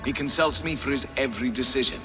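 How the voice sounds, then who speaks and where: An actor playing a self-important, greedy royal advisor in a video game, pompous and sly. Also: male voice, mid-range voice, fast talker, close to an everyday voice. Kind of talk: dramatic video game acting. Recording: long hall reverb, music underneath.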